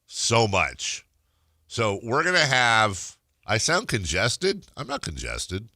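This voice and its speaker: A man's voice: on the low side, huge pitch swings, takes it slowly, bright, forceful tone, no room sound, studio quality. A man hosting a morning sports radio show, nasal and joking, laughing often with his co-host. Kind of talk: casual morning radio banter. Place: radio studio. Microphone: studio microphone.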